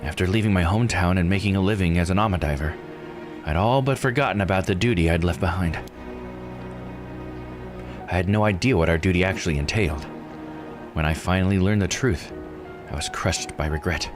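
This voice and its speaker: A man voicing a weary, regretful woman diver in a visual novel, measured and confiding. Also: low voice, lightly acted. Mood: grave, confiding. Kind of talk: reading visual novel dialogue aloud. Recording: music under the voice. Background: game music.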